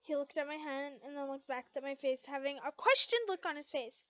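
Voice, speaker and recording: A woman's voice: sits high, fairly quick, fairly bright tone, dry recording. A young woman reading fan fiction aloud in a dramatic, amateur way, now and then stumbling over a line. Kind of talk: amateur expressive story read-aloud. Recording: dull, lo-fi home recording.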